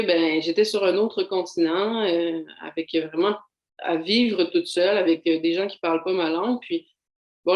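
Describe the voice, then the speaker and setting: A young adult woman with a Québécois accent gives a candid, reflective personal testimony, pausing often to find her words. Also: fairly low pitch, echoey room, muffled recording. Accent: Québécois French. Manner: candid, reflective, halting with frequent fillers. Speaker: doctoral student giving a faith testimony. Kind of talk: personal testimony in a video seminar.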